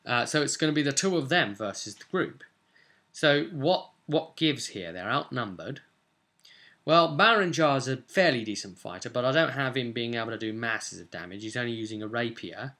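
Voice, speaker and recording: A man's voice: mid-range voice, expressive, moderate pace, pushed and bright. A young British man giving a casual, emphatic recap to camera as a game master, chatting from his desk at home. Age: young adult. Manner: chatty, emphatic, gesturing storyteller. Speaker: vlogger and game master recounting a session. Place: small indoor home room, seated at a desk.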